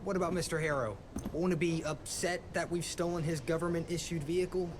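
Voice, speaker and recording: A fussy, pedantic young sidekick, played by a man with a slightly high voice. He corrects people nervously. Ambient noise sits under him. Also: fairly even intonation, a little fast, close to an everyday voice.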